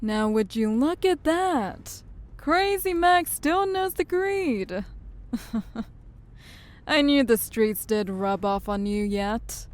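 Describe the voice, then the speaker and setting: A woman's voice: on the high side, expressive, drawn-out words. A woman acting as a tough, sly, self-assured syndicate enforcer in an audio drama, with a taunting manner. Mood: sly amusement.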